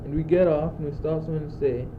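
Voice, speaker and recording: A man's voice: on the high side, fairly even intonation, quick pace, natural voice. A teenage male student acting in a school radio play as an anxious, exasperated, brooding young courtier, declaiming in clipped phrases. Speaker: student actor. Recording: muffled phone-like old tape, loud noise.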